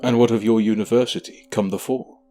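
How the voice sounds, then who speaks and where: A man playing a weary, gentle old king in an audio drama, speaking in a formal, measured way. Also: lowish voice, steady tone, faint music behind, close to an everyday voice. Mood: weary, grave. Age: older.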